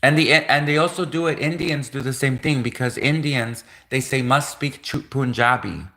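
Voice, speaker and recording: A man's voice: mid-range voice, fairly even intonation, moderate pace, sharp tone. A man hosting a livestream, talking insistently with lots of repetition and restarts. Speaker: livestream host. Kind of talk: livestream rant.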